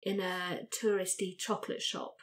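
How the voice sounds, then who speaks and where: A woman's voice: mid-range voice, level tone, moderate pace. A woman talking casually as a player in a tabletop roleplaying game. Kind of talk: casual chat during a game.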